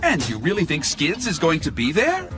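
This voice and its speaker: An analytical, inquisitive robot from a cartoon team. His intonation swings extremely widely in a high male register, over clear music. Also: huge pitch swings, moderate pace. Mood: reasoning. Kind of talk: animated cartoon dub acting. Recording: music behind the voice.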